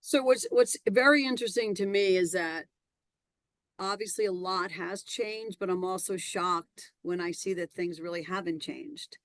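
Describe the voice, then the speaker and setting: A woman's voice: lowish voice, moderate pace, a bit bright, no echo. A woman speaking on a restructuring panel, emphatic, repeating words for stress. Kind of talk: panel discussion.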